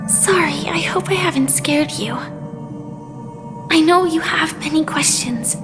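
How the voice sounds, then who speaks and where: A young female hunter in a video game, gentle and earnest. Her high voice moves slowly and sincerely. Music is clearly audible behind her. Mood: gentle, solemn. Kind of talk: scripted game voice acting.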